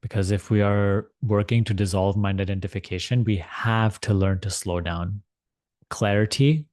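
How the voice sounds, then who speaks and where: Low-voiced man guiding a meditation. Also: a little mellow, dry recording. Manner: deliberate, measured, with long pauses and repeated phrases. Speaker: meditation teacher leading a guided meditation. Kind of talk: guided meditation instruction, spiritual talk.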